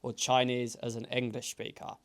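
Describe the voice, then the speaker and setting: A man hosting an interview with a smooth, resonant voice and a middle pitch. He speaks in a measured, articulate way, laying out his points in full sentences. He sounds like a teacher explaining things to learners. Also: completely flat delivery. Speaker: host, English teacher.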